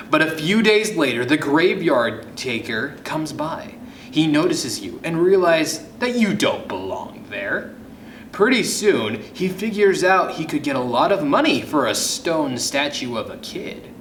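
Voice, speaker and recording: A man reading a children's story aloud as host, with suspenseful pauses and hushed drops in his delivery. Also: mid-range voice. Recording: roomy echo, faint background noise.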